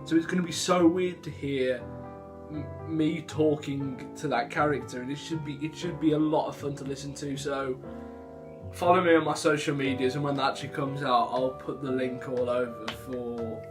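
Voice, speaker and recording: A young man chatting casually to viewers as a vlogger, rambling and interrupting himself. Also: medium pitch. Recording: music behind the voice.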